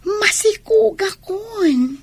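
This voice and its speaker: A woman's voice: very high voice, animated, extremely slow, vocal fry, no room sound, full character voice. A woman playing a wheedling young student in a dramatic radio play style. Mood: tearful. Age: young adult. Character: young female student wheedling money from her sugar daddy.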